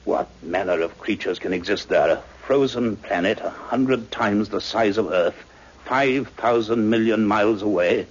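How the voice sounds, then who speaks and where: A man acting a thoughtful, learned scientist in an old radio science fiction drama, speaking in a grave, measured, scholarly way. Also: on the low side, husky. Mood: grave wonder. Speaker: lead actor and first-person narrator. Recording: muffled, dull old radio recording.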